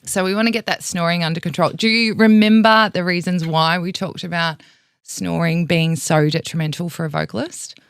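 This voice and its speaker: A woman's voice: lowish voice, fairly even intonation, normal speed, clean tone, dry recording, clean studio sound. A woman vocal coach with an Australian accent, explaining vocal health in a frank, teacherly way and swearing casually.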